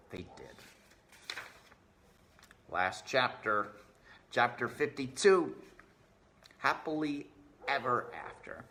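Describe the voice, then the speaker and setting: A man reading a children's novel aloud with deliberate, dramatic expression, addressing the listener directly. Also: on the low side, pushed and bright, slight background noise. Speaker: storybook reader.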